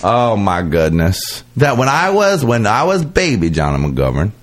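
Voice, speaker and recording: A man's voice: lowish voice, huge pitch swings, unhurried. A man hosting a podcast, chatting casually and comedically in a campy, gushing, gossipy way. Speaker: podcast host.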